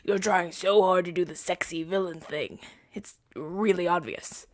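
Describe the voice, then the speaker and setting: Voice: medium pitch, steady tone, unhurried, dry recording, natural voice. A woman playing a flustered, self-righteous hero in a scripted ASMR audio drama, with a theatrical, stammering delivery. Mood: indignant, teasing. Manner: stammering, indignant, dramatic delivery with breathy whispers.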